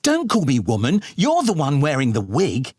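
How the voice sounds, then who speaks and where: A fussy, indignant mother played by a male narrator bickers in a comic audiobook. The voice is pitched well up, shrill and huffy, with big swoops. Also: wildly swooping pitch, moderate pace, a little mellow, slightly rough, no room sound, studio quality, full character voice. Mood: huffy indignation.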